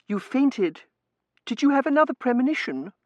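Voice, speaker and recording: A man's voice: extremely high pitch, big pitch swings, normal speed, a little mellow, dry recording, highs cut off, pitched above the performer's own voice. A man voicing an anxious, girlish young daughter in an audiobook, fussing and exclaiming breathlessly.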